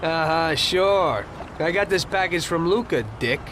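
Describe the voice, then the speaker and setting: Wry, higher-voiced gangster, very lively. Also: male voice, a little slow, noisy background. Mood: wry, guarded. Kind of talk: video game cutscene acting.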